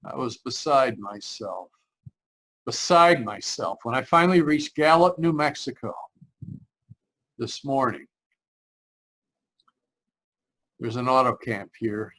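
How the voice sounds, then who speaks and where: An actor playing a frightened, desperate young driver in an old-style radio drama, halting and repeating his phrases. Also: medium pitch, lively intonation, a bit bright, rough recording. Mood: dread, unravelling. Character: frightened, desperate young man driving cross-country, haunted. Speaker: actor narrating and playing the lead. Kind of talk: 1940s radio drama acting. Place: remote live performance over video call.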